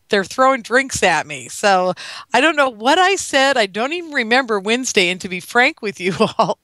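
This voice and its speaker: A middle-aged woman hosts her radio show with a hoarse, cold-worn voice that still comes across bright and smooth. She rambles freely and laughs easily as she chats to her listeners. The sound is close and dry. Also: medium pitch, no echo. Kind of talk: casual monologue to listeners.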